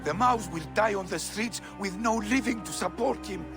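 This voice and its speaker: Sly, high, creaky street merchant. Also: male voice, moderate pace, over music. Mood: pleading, theatrical. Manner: ingratiating, theatrical, third-person boasting. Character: sly, chatty, self-pitying street merchant. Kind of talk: video game voice acting.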